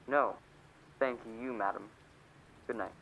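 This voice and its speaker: A man's voice: mid-range voice, slow pace, creaky, fairly bright tone, muffled recording, slight background noise. A man playing a businesslike, impassive telegram clerk reciting formally in a radio play.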